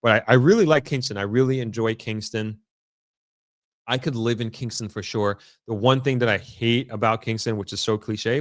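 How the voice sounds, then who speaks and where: A man with a fairly low voice talks casually as a livestream host. He sounds tired and thinks aloud in a halting, informal way. The sound is dry, with very little room echo. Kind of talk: casual livestream chat, reading out viewer comments.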